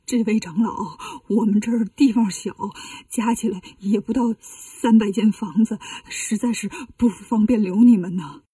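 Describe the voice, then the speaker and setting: A woman's voice: on the high side, lively intonation, fairly quick, darkish tone, no room sound, recorded from a distance. A woman voicing a grumpy, snobbish old male head monk who grumbles dismissively in a storybook tale. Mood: nervous, reluctant.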